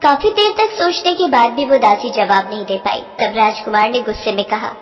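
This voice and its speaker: A woman's voice: a little high, moderate pace, muffled recording, faint music behind. A woman reading a scripted children's moral story as its narrator. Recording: long reverb, noisy track.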